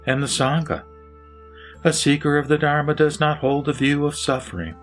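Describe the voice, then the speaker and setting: A man's voice: mid-range voice, pitched above the performer's own voice, lightly acted. A man voicing Vimalakirti, a wise lay sage teaching in a solemn, earnest way over faint music.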